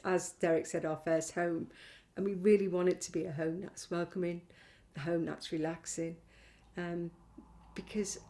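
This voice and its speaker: A woman's voice: on the low side, mellow. A middle-aged woman giving a reflective personal testimony as a community member. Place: indoors on a living room sofa.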